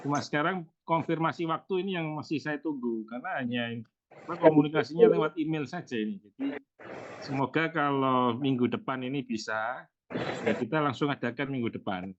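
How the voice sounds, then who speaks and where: A man's voice: medium pitch, fairly quick. A man who is a professor, chairing an online meeting, hesitant and asking many questions over a video call. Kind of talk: casual online meeting discussion. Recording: muffled noisy call audio.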